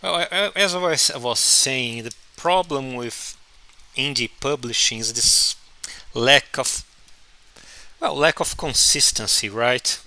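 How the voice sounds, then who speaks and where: A man whose first language is Portuguese, chatting casually on a live stream while he draws, halting and restarting often. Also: mid-range voice, sharp tone. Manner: halting, many restarts and fillers. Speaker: comic artist streaming his drawing.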